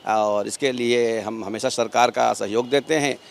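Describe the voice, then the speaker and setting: A man with a medium-pitched voice gives an interview outdoors as a local worshipper. He explains earnestly, repeating and rewording phrases as he makes his points. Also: fairly even intonation, measured pace, gravelly, a little hiss or hum. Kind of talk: street interview answers. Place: outdoors outside a mosque.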